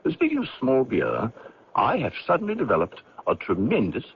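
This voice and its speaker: A man's voice: medium pitch, huge pitch swings, normal speed. A man acting in an old-time radio drama as a glib, evasive, outwardly chummy commuter with a breezy, emphatic manner. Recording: dull, hissy old radio recording.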